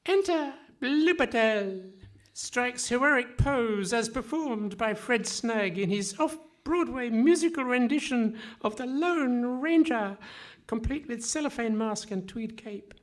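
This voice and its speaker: A woman's voice: on the high side, lively intonation, slow pace. A woman playing a naive, dramatic young boy scout who reads out his own stage directions in a parody of old radio comedy. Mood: earnest, hapless. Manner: melodramatic, self-narrating. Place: live on stage in a hall.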